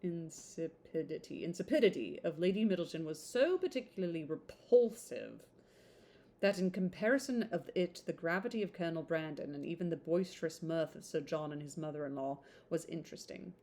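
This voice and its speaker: Slightly low-voiced woman reading aloud informally. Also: expressive, a little fast, a little mellow. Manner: stumbles over words and rereads them. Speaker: host reading a classic novel aloud. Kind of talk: informal read-aloud with chatty asides.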